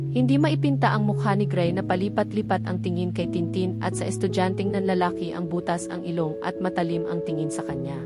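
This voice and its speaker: A woman reading scripted story narration as an audiobook narrator. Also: medium pitch. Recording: music playing behind the voice. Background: background music.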